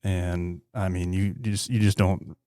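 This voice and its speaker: Low-voiced male podcast host, informal. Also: little pitch movement, moderate pace, mellow, no room sound, clean studio sound. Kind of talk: casual podcast chat.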